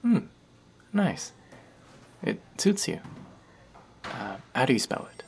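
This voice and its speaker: A man's voice: medium pitch, wildly swooping pitch, takes it slowly, gravelly, darkish tone, a little hiss or hum, full character voice. A man playing a chatty young barista who teases and rambles in a friendly way, in a scripted audio roleplay. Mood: rambling. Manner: playful, rambling, teasing.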